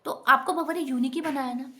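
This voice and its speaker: A woman's voice: sits high, fairly even intonation, fairly quick, bright, forceful tone, a little hiss or hum. A woman giving an earnest, instructive motivational talk directly to her viewers. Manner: earnest, instructive, often addresses listeners as friends. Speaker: self-help vlogger.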